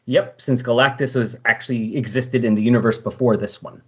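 Man, podcast host, husky voice, conversational, explanatory, slightly muffled lo-fi recording. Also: medium pitch, fairly even intonation, fairly quick. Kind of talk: casual comic-book recap discussion. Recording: dull, lo-fi voice recording.

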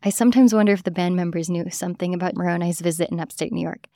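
A woman's voice: fairly low pitch, rapid-fire, warm tone, dry recording, studio quality. A woman hosting a podcast, reading scripted narration. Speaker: podcast host.

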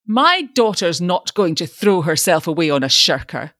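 An angry, imperious older gentleman, as a woman reader puts him on. He blusters and commands with a strongly varied pitch, like a thundering father in a storybook. The sound is dry. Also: big pitch swings, moderate pace, no room sound. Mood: wrathful, imperious. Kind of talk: dramatised short story reading.

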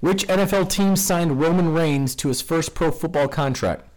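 A man's voice: a little high, moderate pace, poor audio. A man hosting a casual trivia game among friends, reading out questions and laughing often. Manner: animated, laughs often, reads questions aloud. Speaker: quiz host.

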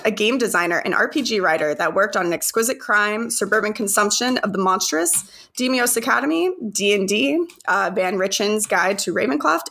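A woman's voice: medium pitch, vocal fry, sharp tone. A woman hosting an interview podcast about game design, speaking in an enthusiastic, upbeat way. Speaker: podcast host.

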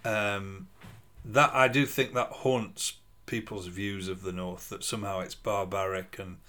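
Bloke from the north of england, lowish voice, chatting casually as co-host. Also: male voice, little pitch movement, measured pace, pushed and bright. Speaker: podcast co-host. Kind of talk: casual conversation.

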